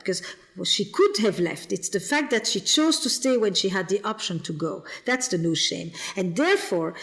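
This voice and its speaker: A woman from the Flemish part of Belgium with a Flemish accent, speaking thoughtfully as a guest therapist on a podcast. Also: fairly high pitch. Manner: reflective, repeats words while thinking. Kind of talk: podcast interview.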